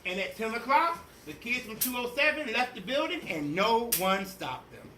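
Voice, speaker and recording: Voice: medium pitch, sing-song, normal speed, pushed and bright. A storybook reader doing a theatrical, emphatic read-aloud of a children's picture book. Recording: echoey room, distant mic, faint noise.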